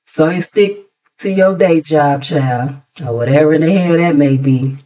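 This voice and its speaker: A woman's voice: low-pitched, unhurried, dark tone, rough recording. An American woman hosting a gossip recap, chatting casually in a sassy, conversational way with mocking asides. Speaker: host of a gossip commentary channel.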